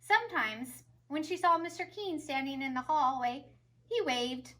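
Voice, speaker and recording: A woman reading a picture book aloud as a teacher would to children, expressive and emphatic, with asides to young listeners. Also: sits high, a little slow.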